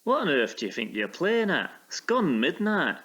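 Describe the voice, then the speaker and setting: Blustering landlord, wildly swooping intonation. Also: male voice, fairly high pitch, big pitch swings, normal speed, fairly bright tone, dull audio. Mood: flustered, scolding. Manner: blustering, exasperated, proper. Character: flustered, irritated male landlord in a period ghost story. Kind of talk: period audio drama acting.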